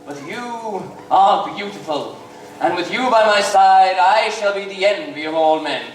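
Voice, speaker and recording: A man's voice: high-pitched, measured pace, distant mic, a little hiss or hum. A man playing a gloating, greedy evil pantomime villain on stage, declaiming pompously. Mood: scheming. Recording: echoey theatre stage recorded from afar.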